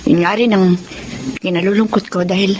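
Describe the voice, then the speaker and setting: A woman's voice: medium pitch, steady tone, normal speed, darkish tone, slight background noise, close to an everyday voice. A woman with a Filipino accent acting an older, moral, saddened mother in a radio drama. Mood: sad, resigned. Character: older mother, resigned, moral, sad over wayward son. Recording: clear music bed under voice.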